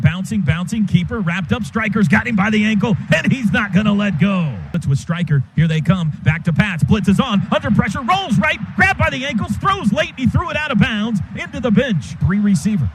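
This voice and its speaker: A man calling a football game play by play for radio, in quick clipped bursts that jump up on big plays. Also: sits high. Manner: rapid, clipped calls that rise sharply on big plays. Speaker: play-by-play announcer. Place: stadium radio booth. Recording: noisy stadium radio broadcast.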